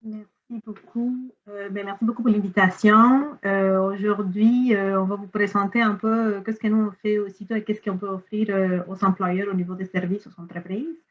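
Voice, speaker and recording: A female director gives an informal webinar presentation over a narrow, phone-like connection. She speaks hesitantly, pausing as she finds her way through the introduction. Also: mid-range voice, fairly even intonation. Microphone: video call. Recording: thin phone-like online call audio.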